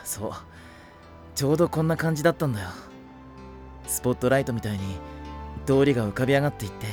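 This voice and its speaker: Man acting an easygoing, animated anime teenage boy. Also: medium pitch, fast, faint music behind, lightly acted. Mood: nostalgic, gentle. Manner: casual, plain-spoken. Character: easygoing, kind teenage boy, childhood friend. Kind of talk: anime audio drama acting.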